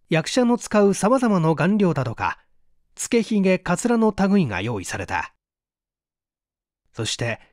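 A man's voice: on the high side, no echo, studio quality. A man narrating a literary story as a scripted, dramatic audiobook reading.

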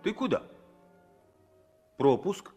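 A man's voice: slow, mellow, faint music behind, natural voice. An actor playing a male gate sentry asking short, official questions in a 1960s radio drama. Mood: stern, questioning.

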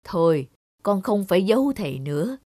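A woman voicing a gentle old village teacher. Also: medium pitch, very animated, normal speed, no echo, studio quality. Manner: gentle, fatherly.

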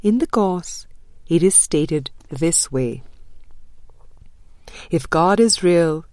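A woman reading a spiritual book as an audiobook narrator, measured and deliberate, with frequent pauses. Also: fairly low pitch, expressive, darkish tone, no room sound. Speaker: audiobook reader.

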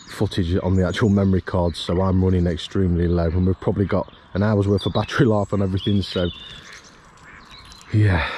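Low-voiced man vlogging outdoors. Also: dark tone, slight background noise. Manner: chatty, repeats "it really does". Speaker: angler filming his own fishing trip. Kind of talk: casual outdoor vlog narration. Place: outdoors on a fenland drain bank.

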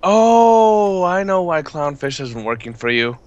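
A man's voice: mid-range voice, animated, normal speed, a bit bright, no echo, muffled recording. A man chatting casually with friends over a voice call while playing an online game. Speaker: player in an online horror game. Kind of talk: casual chat while gaming.

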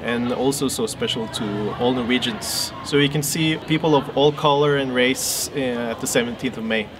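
A man in his thirties who grew up in Norway, explaining things in a measured, hesitant way outdoors on a city street. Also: mid-range voice, over music. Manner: measured, hesitant with many uhs. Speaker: local guide, co-host. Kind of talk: casual explaining chat. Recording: outdoor street noise behind.

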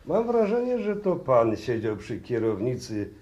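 An actor playing an affable, courteous professor with a polite manner in a 1960s radio drama. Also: male voice, medium pitch, sing-song, a little slow, a little mellow, lo-fi sound. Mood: apologetic. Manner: courteous, apologetic. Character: affable, polite male professor, smoothing things over.

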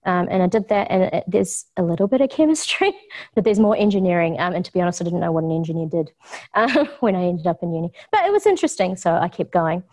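A woman's voice: lowish voice, expressive, quick pace, a little mellow. A middle-aged woman with a New Zealand accent, giving a casual community talk on food science with lots of ums, standing in an office kitchen. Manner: chatty, frequent ums, laughs easily.

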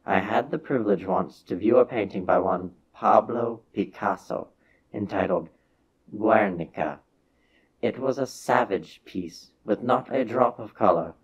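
Husky, low-voiced man narrating dramatically. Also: muffled recording. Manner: solemn, measured, theatrical delivery. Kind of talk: dramatic reading of a science fiction story.

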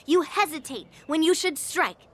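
Brief: actress as a cheeky girl swordfighter, high bright voice, game music under it. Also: moderate pace, natural voice. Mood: lecturing. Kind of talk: game voice acting. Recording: game audio with background music.

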